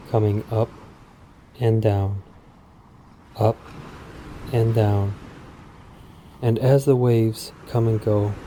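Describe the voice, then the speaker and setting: A man speaking in a soothing, measured, deliberate way with long pauses, as in a guided sleep meditation. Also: lowish voice, dark tone, slight background noise, rough recording. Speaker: podcast host. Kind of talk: guided sleep meditation, parody hypnosis script.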